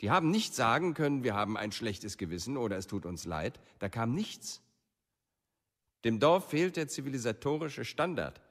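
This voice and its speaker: An actor playing an articulate, indignant prosecutor in a documentary radio play, speaking reproachfully. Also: male voice, medium pitch, big pitch swings, moderate pace, a bit bright. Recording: long hall reverberation.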